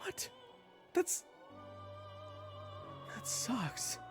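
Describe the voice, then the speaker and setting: A woman's voice: drawn-out words, music in the background. A woman playing a cocky, hot-headed and insecure young swordsman in a fantasy audio drama, with a brash, indignant delivery. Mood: dismayed. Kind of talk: fantasy audio roleplay acting.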